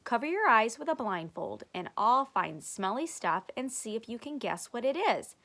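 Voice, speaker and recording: A woman's voice: fairly high pitch, sing-song, moderate pace, fairly bright tone, pitched above the performer's own voice, full character voice. A woman voicing a mocking, skeptical school-age girl in a children's storybook reading. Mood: bossy. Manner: mocking, challenging.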